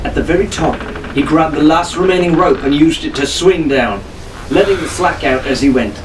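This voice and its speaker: Guy narrating an audiobook dramatically, slightly high voice, bit far from mic, noise behind him. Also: moderate pace. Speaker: storyteller.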